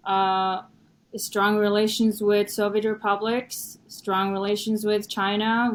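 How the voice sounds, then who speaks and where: Mongolian-born young woman, native Mongolian speaker, animated and chatty, slightly low voice, faint background noise. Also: poor audio. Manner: animated, chatty, frequent "you know". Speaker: podcast guest, researcher. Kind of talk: conversational interview.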